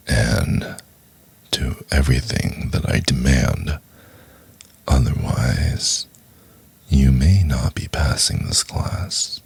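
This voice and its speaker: Very deep gravelly, seductive male professor acted by a man. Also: dry recording, full character voice. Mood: commanding, coaxing.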